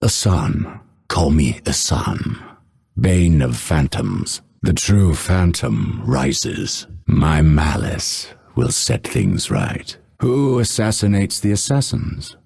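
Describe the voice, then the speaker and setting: A vengeful, exiled male assassin from a video game. His voice is gravelly and unhurried, swinging dramatically in pitch. He speaks with proud, theatrical menace, each line delivered as an ominous threat. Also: sing-song, a little mellow, full character voice. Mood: cold, vengeful. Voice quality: gravelly, raspy.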